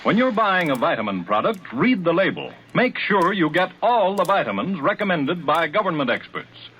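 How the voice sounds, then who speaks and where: A man's voice: a little high, very animated, normal speed, fairly bright tone, a little hiss or hum. An American man reading a 1940s radio commercial on an old recording. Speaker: advertisement announcer.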